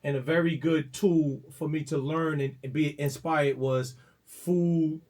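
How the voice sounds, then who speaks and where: A man, a radio host and DJ, telling stories in a rambling, halting way with many restarts during a casual interview. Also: fairly high pitch, fairly even intonation, darkish tone.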